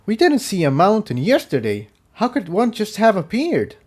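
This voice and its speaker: Man as an earnest male pony, slightly raised pitch. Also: huge pitch swings, moderate pace, a little mellow, breathy edge, exaggerated voice. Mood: puzzled. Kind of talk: character voice in story reading.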